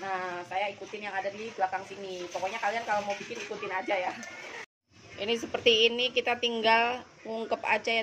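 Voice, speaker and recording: A woman in her thirties with a nasal, stuffed-up voice from a cold, hosting a casual home cooking vlog and chatting to her viewers as friends. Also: mid-range voice. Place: home kitchen. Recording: noisy home kitchen recording.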